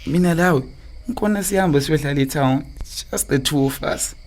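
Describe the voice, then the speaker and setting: A man's voice: fairly high pitch, fairly quick, a little mellow, lightly acted. A man with a Zimbabwean accent acting an evasive, philandering husband in a radio drama, stammering through his excuses. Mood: coaxing, reassuring. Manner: stammering excuses, switching into English phrases. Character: evasive philandering husband and father, smooth-talking, defensive.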